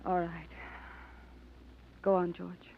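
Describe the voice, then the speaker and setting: A woman's voice: lowish voice, level tone, slow pace, gravelly, exaggerated voice. An actress playing a worried woman waiting years for news of her missing brother, in 1940s radio style. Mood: weary. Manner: strained, pleading, emotional. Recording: dull old radio recording.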